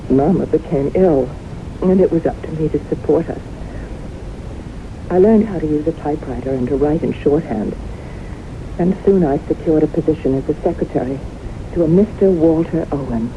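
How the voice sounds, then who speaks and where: Haunted woman survivor played by an actress, dramatic deliberate storytelling, 1970s radio drama, muffled hissy recording. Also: low voice, close to an everyday voice. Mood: subdued. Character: haunted woman recounting the disasters she survived.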